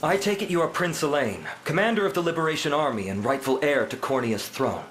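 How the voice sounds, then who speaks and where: An actor playing a proud, dutiful uncrowned prince in a fantasy game, with regal, formal and deliberate phrasing. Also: male voice, fairly high pitch, lively intonation, slight background noise. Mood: solemn. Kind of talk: dramatic fantasy game voice acting. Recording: loud orchestral music behind.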